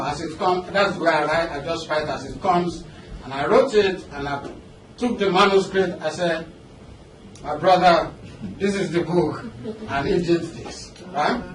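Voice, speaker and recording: A man with a Nigerian accent giving a motivational seminar talk as a business coach, conversational and often checking in with questions. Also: a little high. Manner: conversational, engaging the audience with questions and check-ins. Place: indoor seminar room with an audience. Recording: roomy, noisy seminar hall, distant mic.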